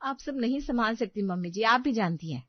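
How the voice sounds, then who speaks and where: A woman's voice: a little high, expressive, brisk, no room sound, muffled recording. A woman voicing a dutiful, emphatic young daughter-in-law in a family drama. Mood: reassuring. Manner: earnest, emphatic.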